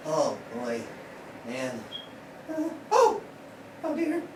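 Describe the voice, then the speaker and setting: A man's voice: on the high side, huge pitch swings, drawn-out words, full character voice. A man voicing a nervous, bashful ferret puppet, stammering and flustered, in a puppet show. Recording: roomy, distant mic with clear noise.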